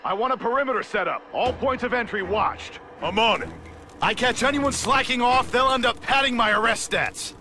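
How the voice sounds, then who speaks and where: An actor playing a curt, commanding task force officer in a video game. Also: male voice, extremely high pitch, moderate pace, bright, forceful tone, slight background noise, heavily acted. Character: bossy, gruff male task force officer. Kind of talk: video game cutscene voice acting.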